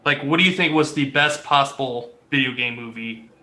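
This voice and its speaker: Male podcast panelist, sharp bright tone, mid-range voice, insistent conversational delivery. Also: mid-range voice, brisk. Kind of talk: casual panel chat.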